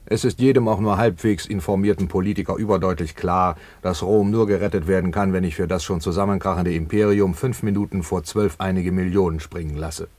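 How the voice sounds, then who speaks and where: Pompous low-voiced tycoon. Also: male voice, steady tone, brisk, hardly any pauses, a little mellow, no echo. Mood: smug, businesslike. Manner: pompous, businesslike, long-winded. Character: pompous, portly, cold-blooded rich trouser manufacturer and tycoon. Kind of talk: satirical German radio drama acting.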